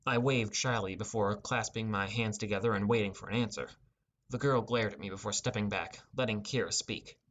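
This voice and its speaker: Low-voiced man narrating a fantasy audiobook. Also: a little fast, a bit bright. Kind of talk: scripted first-person fantasy narration.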